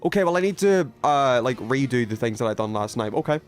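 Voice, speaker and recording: A man's voice: medium pitch, lively intonation, brisk, faint music behind. A man hosting a video game livestream, rambling and thinking aloud as he chats casually with viewers. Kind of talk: casual gaming stream chat, reading viewer messages.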